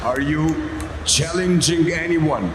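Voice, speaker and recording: Slow booming ring announcer in an echoey arena. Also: male voice, noisy background. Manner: drawn-out announcer calls. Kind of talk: cage announcement and interview.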